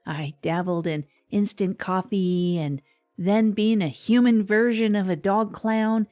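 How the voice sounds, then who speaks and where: A woman's voice: mid-range voice, steady tone, measured pace, warm tone, faint music behind, lightly acted. A woman playing a wry small-town radio host, telling a comic monologue with hesitant, self-deprecating asides. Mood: wry, reflective. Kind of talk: scripted comedic radio monologue.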